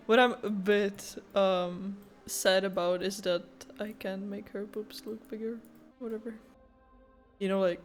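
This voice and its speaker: A woman's voice: medium pitch, steady tone, takes it slowly, soft background music. Young woman giving casual gaming commentary. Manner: rambling, informal, giggly. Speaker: gaming video creator.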